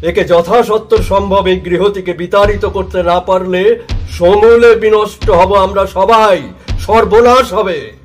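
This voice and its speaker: A man's voice: high-pitched, unhurried. An actor playing a stern, superstitious old father-in-law in an audio drama, declaiming with portentous emphasis. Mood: alarmed, condemning. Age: older. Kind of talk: theatrical audio drama acting. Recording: music under the voice.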